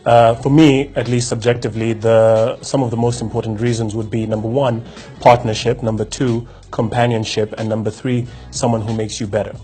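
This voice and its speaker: A man's voice: mid-range voice, level tone, fairly quick, mellow, faint music behind. A man hosting a motivational talk, speaking reflectively with frequent restarts and rhetorical questions. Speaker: host. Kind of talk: casual motivational talk to camera.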